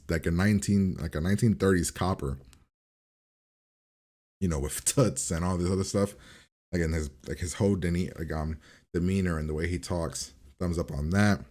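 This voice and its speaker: A man's voice: deep voice, darkish tone. A man hosting a reaction video, giving a casual, informal review and rambling as he repeats and revises his points.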